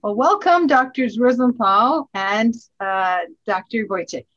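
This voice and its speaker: A woman hosting a podcast, giving formal introductions in a halting way with frequent hesitations. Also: a little high, a bit bright. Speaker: podcast host, society president. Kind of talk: formal introductions and casual chat. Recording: dull lo-fi audio.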